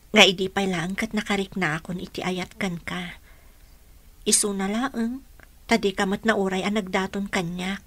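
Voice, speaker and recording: A woman playing a sincere, soft-hearted young mother in an emotional radio drama. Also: medium pitch, no room sound. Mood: apologetic, tender.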